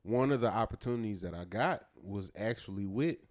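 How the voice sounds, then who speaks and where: Man, low register, podcast co-host, casual storytelling, earnest, talks in loose run-on phrases. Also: animated, a little fast. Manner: loose and earnest, peppered with "man" and "you know what I'm saying".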